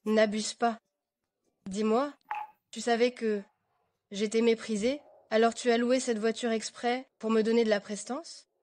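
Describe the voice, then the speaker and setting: An actress dubbing a practical, matter-of-fact young bride. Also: female voice, fairly high pitch, little pitch movement, unhurried, darkish tone, lightly acted. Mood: teasing.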